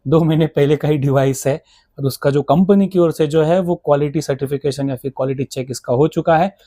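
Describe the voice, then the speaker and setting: Higher-voiced, mellow Indian man explaining products. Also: brisk, dry recording. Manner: explanatory, detailed. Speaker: motorcycle gear shop seller. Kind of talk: conversational product explanation in mixed Hindi and English.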